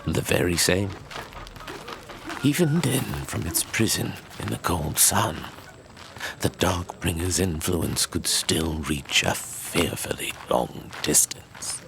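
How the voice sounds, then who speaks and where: Man playing a very deep, gravelly lore-keeper. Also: animated, takes it slowly, background noise, full character voice. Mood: grave storytelling. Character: measured, knowing male storyteller of dark fantasy lore. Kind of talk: fantasy audio drama storytelling.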